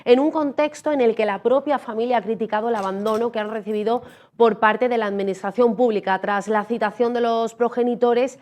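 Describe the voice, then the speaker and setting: A woman reading the local news as anchor in a measured, formal way. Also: fairly high pitch, steady tone, darkish tone. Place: TV studio.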